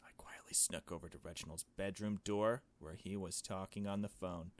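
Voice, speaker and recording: Low-voiced man reading a game story aloud. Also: fairly even intonation, moderate pace. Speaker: let's player. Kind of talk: reading a visual novel aloud with casual asides.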